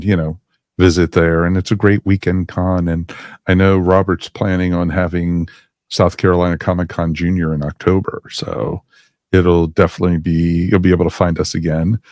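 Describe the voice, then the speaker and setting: A man's voice: low-pitched, darkish tone, no echo, studio quality. A man hosting a podcast in casual chat, rambling with lots of fillers. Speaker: podcast host.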